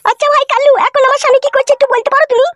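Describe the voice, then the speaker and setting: Cartoon housewife, male performer: extremely high, squeaky, very rapid, plaintive gossip, over loud music. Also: little pitch movement. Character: shrill gossipy married woman, neighbourhood sister-in-law. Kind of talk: comedy cartoon dubbing.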